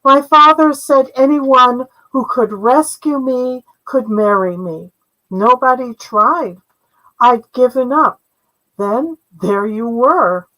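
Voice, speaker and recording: A candid, resigned young princess from a fairy tale, read out by a woman. She speaks plainly and earnestly, slowly, in a fairly high voice. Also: pushed and bright, heavily acted, poor audio.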